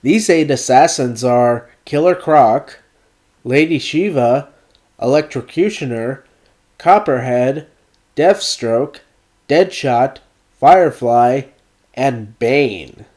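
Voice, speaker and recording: A man recovering from a cold, hosting a video essay and narrating a scripted game review in a measured, deliberate way. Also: medium pitch, expressive, darkish tone.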